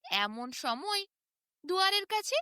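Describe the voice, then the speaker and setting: Female storyteller for children's fairy tales; high, very lively delivery, dry close sound. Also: slow pace, no echo. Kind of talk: animated children's fairy tale narration.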